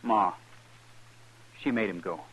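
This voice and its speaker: An actor playing a troubled, defensive young drifter in a 1950s radio western, halting and plain-spoken with a rural American drawl. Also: male voice, sits low, fairly bright tone, breathy edge, exaggerated voice, poor audio. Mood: doubtful, hurt.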